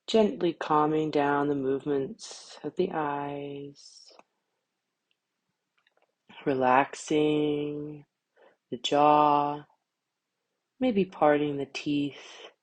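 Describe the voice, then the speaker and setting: Woman doing guided yoga nidra, really slow and low voice, calm and soothing, short phrases with gaps. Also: rough recording. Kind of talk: guided relaxation meditation.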